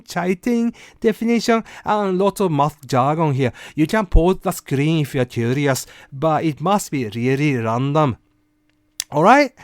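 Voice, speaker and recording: A man's voice: on the high side, darkish tone, no echo, clean studio sound. A man hosting a casual explainer, talking conversationally and putting questions to the listener. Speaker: host of a rant and explainer series. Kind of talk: casual explainer narration with quoted passages.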